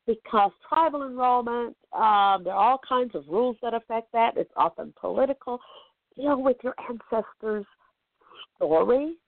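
A woman with a hoarse, gravelly voice talks as an interview guest. She sounds keen and emphatic about her research. The sound is tinny and dry, like a phone line. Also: medium pitch, no echo. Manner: enthusiastic, emphatic. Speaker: genealogist and podcaster, guest. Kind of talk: interview answers.

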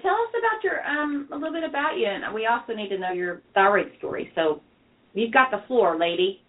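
A woman's voice: fairly high pitch, expressive, a little fast. An American woman from Texas chatting casually as a podcast co-host. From Texas, United States. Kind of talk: casual chat. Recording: tinny narrow-band phone line.

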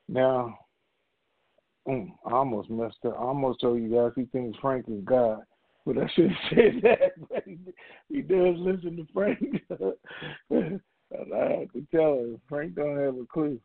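A man's voice: mid-range voice, expressive, measured pace, vocal fry, no echo. A man hosting a conference call, talking to the group in a casual, rambling, folksy way. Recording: muffled, narrow-band phone conference line.

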